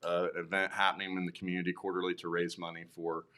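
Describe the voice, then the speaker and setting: A man speaking as a guest on a morning TV show, halting and restarting as he talks. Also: low-pitched, little pitch movement, sharp tone. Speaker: studio guest, event organizer. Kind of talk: morning TV interview. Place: local TV studio.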